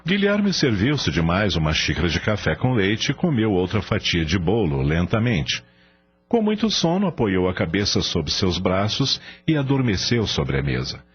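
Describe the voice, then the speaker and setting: A man narrating a radio drama in a measured, expressive storytelling style. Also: sits low, breathy edge. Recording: dull lo-fi audio with music behind.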